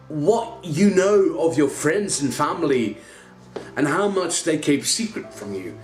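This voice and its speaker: Young Dutch man, light English accent, slightly high, wry and rambling, casual swearing, echoey room, faint guitar behind. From Netherlands. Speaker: vlogger reviewing a TV series. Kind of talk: casual chatty review.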